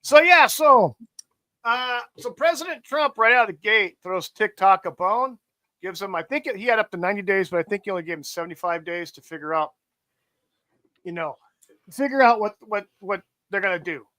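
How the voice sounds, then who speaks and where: A man with a high, bright voice talks over current events on a casual podcast. He rants and curses with emphasis and keeps going at length. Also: lively intonation, moderate pace. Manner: profane, ranting, emphatic. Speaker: podcast host. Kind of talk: casual political chat.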